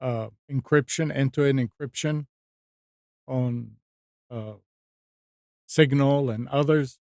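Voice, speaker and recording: A man hosts a casual technology news discussion in a medium-pitched voice. He moves between chat and reading out items, often halting and repeating words. The sound is close and dry, with little room in it. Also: fairly even intonation, darkish tone, dry recording. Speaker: talk show host.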